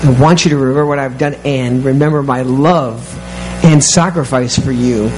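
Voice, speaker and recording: A pastor leading communion, speaking softly through a face mask in a gentle, plain way. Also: male voice, medium pitch, fairly even intonation, moderate pace, noisy background. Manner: soft-spoken, gentle, talking through a mask. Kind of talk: communion sermon. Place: church sanctuary.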